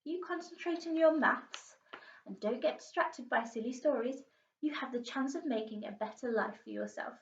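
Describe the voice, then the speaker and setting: A woman voicing a stern, scornful mother scolding her daughter in a storybook, haughty and sharp. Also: high-pitched, normal speed. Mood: angry. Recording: muffled, echoey room, distant mic.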